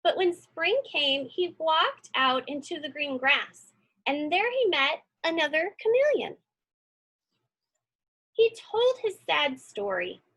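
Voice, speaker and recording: A woman's voice: high-pitched, big pitch swings, takes it slowly, a bit bright, poor audio. A woman reading a storybook aloud and chatting informally to children as their teacher, encouraging and often putting questions to her listeners. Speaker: teacher hosting a children's read-along.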